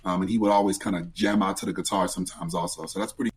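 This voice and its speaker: A man with a raspy voice, chatting in an easygoing, friendly way as a guest on a podcast interview. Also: low voice, fairly even intonation, rapid-fire. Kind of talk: casual interview chat.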